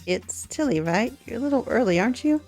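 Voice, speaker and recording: Woman as a shy, mellow young schoolboy. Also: fairly high pitch, expressive, brisk, faint music behind, voice pushed up, lightly acted. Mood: flustered.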